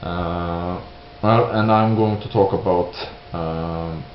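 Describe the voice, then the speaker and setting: A man from Sweden with a Swedish accent, giving an unscripted opinion monologue in halting English, hesitant and searching for words. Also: low-pitched, steady tone. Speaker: video creator giving opinions. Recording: roomy, dull lo-fi sound with hiss.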